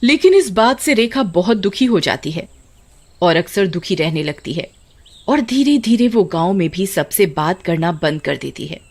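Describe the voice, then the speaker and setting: Woman storyteller with lively narration. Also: lowish voice, moderate pace. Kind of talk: scripted Hindi story narration.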